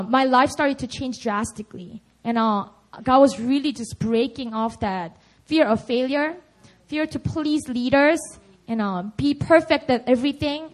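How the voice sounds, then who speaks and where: A woman's voice: on the high side, rough recording. A young woman with a Korean accent, speaking English as a second language, giving an earnest personal testimony at a church service, laughing often and leaning on "you know". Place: church hall.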